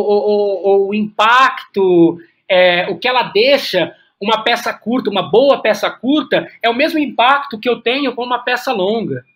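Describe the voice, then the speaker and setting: Brazilian guy as podcast guest, thinking out loud, repeats little words, reflective chat. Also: sits high. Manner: halting, repeats words as they think aloud. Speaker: guest playwright. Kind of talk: reflective interview conversation.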